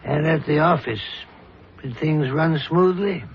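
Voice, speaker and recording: A dull, lo-fi radio drama recording carries a self-assured male psychiatrist. His husky voice is unhurried and deliberate as he coolly and insistently leads his patient with probing questions. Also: fairly high pitch, full character voice. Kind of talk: 1970s radio drama acting.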